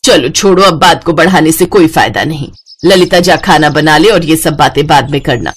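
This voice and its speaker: An affectionate older village mother in a moral story, acted by a woman. She speaks in a coaxing, motherly way, lower in pitch, as if pleading with family. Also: normal speed, breathy edge. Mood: gently scolding.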